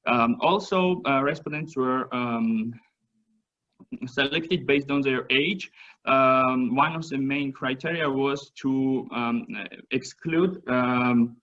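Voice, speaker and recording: Halting Georgian-native man presenting research. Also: mid-range voice, fairly bright tone, highs cut off. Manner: halting, many fillers and restarts. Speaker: seminar presenter. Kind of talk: academic presentation.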